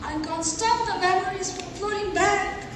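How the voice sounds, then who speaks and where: In a big echoing space with clear noise, a woman plays a distraught young female victim. Her voice is very high and carries from a distance, in the style of a stage reading. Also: moderate pace. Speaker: student teacher performing in a readers' theatre. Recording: very echoey hall, distant mic, noisy.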